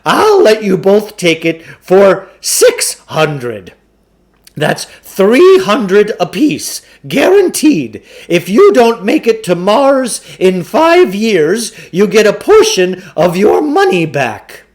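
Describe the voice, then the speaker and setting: A blustering storybook huckster, played by a male reader, pitched high and pushed loud. The delivery is theatrical and wheedling, swooping up and down as he pompously works his sales pitch. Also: wildly swooping pitch, slow pace, heavily acted. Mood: salesman's pitch. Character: blustering, wheedling huckster salesman peddling mind-control gadgets.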